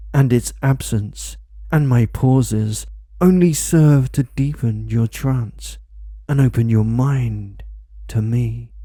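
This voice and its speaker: A man delivers a commanding hypnosis script at a very slow, deliberate pace. His voice is deeply dark and mellow, sitting at an ordinary pitch, and the recording is dry and close with no room sound. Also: no room sound. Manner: dominant, commanding, repetitive. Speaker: hypnosis audio creator.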